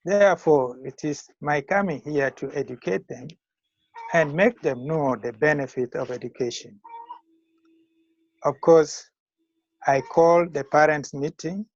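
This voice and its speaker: An older man in his sixties with a Ugandan accent, giving measured, formal interview answers as a school founder. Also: on the high side, no room sound. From northern Uganda. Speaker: school founder and retired teacher. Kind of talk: interview answers on a video call. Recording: muffled lo-fi call audio.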